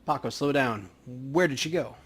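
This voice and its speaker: A man voicing a tense, impulsive young street gang member in a video game, talking gruffly and urgently. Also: mid-range voice, normal speed, natural voice. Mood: agitated.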